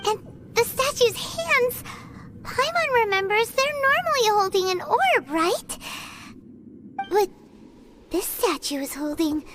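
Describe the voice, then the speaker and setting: A woman voicing a tiny, timid and excitable childlike fairy companion in a video game, with a squeaky voice. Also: takes it slowly, long pauses, very noisy, full character voice. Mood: uneasy, frightened. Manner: refers to self in third person, nervous.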